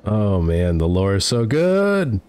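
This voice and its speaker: A man's voice: lowish voice, big pitch swings, slow, a little mellow, clear, resonant tone. A man in his thirties with a stuffy nose from a cold, chatting enthusiastically while playing a game at home on a headset. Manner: enthusiastic, chatty, reads choices aloud. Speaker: let's play streamer. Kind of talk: casual gameplay commentary. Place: home room.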